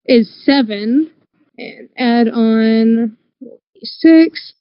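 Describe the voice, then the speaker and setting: Higher-voiced woman, dull dry audio. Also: very slow, clean tone, no room sound. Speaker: tabletop game player. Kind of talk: casual in-game description.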